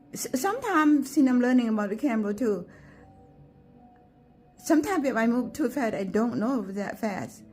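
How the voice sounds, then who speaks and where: A woman speaks English with a Thai accent, her first language being Thai. She talks gently and haltingly, often restarting, as she films a home garden tour on a handheld camera. Faint music plays underneath. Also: medium pitch. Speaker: gardening vlogger. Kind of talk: unscripted walking tour vlog.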